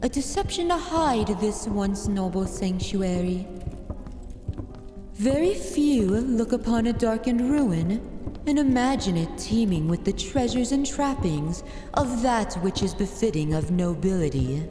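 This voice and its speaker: A woman's voice: medium pitch, animated, unhurried, warm tone, soft background music, close to an everyday voice. A woman playing a haughty, dominant vampire noblewoman in an ASMR fantasy roleplay, with commanding, archaic formal speech. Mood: haughty, composed. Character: haughty, dominant aristocratic vampire mistress of a manor.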